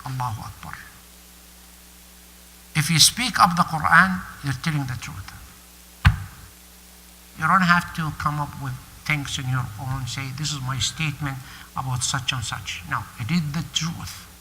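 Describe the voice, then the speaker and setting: A man's voice: a little high, measured pace, long pauses, bright, forceful tone, slight background noise. Man preaching in a reverberant hall. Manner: emphatic, repeats phrases for stress. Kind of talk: sermon. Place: mosque prayer hall.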